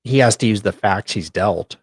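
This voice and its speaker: Measured man co-host in a dry recording. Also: fairly low pitch, husky, no room sound. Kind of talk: casual chat, brief reactions.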